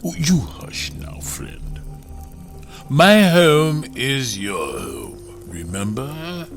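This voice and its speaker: An actor playing a tall, sinister vampire host in an audio drama, folksy and insistently courteous. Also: male voice, on the high side, big pitch swings, drawn-out words, soft background music, exaggerated voice. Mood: courteous, menacing.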